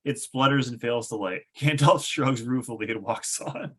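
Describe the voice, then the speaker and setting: A man's voice: medium pitch, moderate pace, a bit bright, slightly rough. A man narrating a table read of a screenplay, reading stage directions with wry, amused asides.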